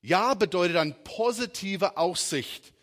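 A middle-aged man from the United States, a native English speaker with an American accent, preaching a sermon informally and haltingly, searching for words. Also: high voice, expressive, fairly bright tone. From southern and western United States. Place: large reverberant room, preaching to a congregation. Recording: reverberant hall.

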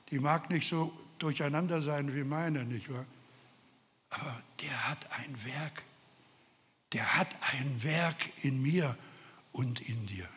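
Older male preacher, slow and earnest, slightly high voice, reverberant hall. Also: fairly bright tone. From Carpathian Ukraine. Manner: earnest, emphatic, addresses listeners directly. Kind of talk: sermon.

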